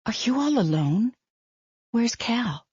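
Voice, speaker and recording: A steady, caring woman friend, soothing but firm, speaking with a gentle lift, as a woman reader puts her on. The sound is dry and somewhat dull. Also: a little high, slow, slightly rough, no room sound, voice pushed up, heavily acted. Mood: concerned.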